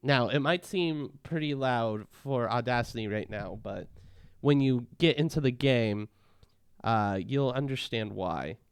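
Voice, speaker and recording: A man gives a casual, step-by-step software walkthrough in a smooth, resonant voice. The sound is dry and close, with no room around it. He keeps a relaxed, instructive tone. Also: medium pitch, a little slow, no room sound. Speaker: tutorial host.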